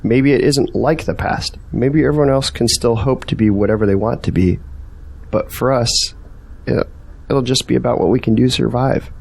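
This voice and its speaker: A man's voice: mid-range voice, moderate pace, gravelly, darkish tone, slightly rough. Earnest man, searching and persuasive, questioning manner, audio drama dialogue, acted by a man. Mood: tentative, reflective.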